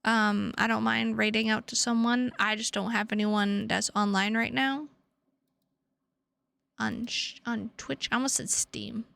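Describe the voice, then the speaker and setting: A tired woman chatting casually to her livestream viewers in a halting, rambling way with drawn-out words. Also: on the high side, fairly even intonation, vocal fry, a bit bright. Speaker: streamer.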